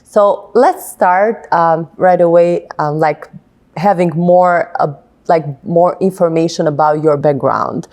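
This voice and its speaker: Woman interviewer with a low voice. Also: takes it slowly, a little mellow. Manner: hesitant, many fillers. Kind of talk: bilingual TV interview.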